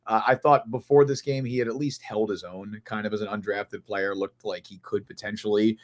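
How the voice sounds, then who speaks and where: Hoarse, raspy man talking fast. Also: fairly low pitch, fairly even intonation. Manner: earnest, thinking aloud in long run-on stretches. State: hoarse from losing his voice. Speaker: sports podcast co-host. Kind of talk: casual sports analysis chat.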